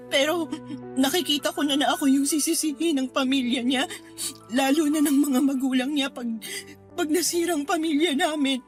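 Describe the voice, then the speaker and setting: A woman's voice: high voice, close to an everyday voice. A woman with a Filipino accent playing a hurt, anxious wife and mother in a radio drama, tearful and plaintive. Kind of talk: Tagalog radio drama acting.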